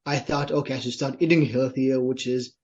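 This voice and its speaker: A man's voice: medium pitch, little pitch movement, brisk, darkish tone. A young man from Israel with an Israeli accent, a fitness trainer telling his story earnestly as a podcast guest. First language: Hebrew. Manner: earnest storytelling, often adds "right?". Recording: dull, lo-fi sound.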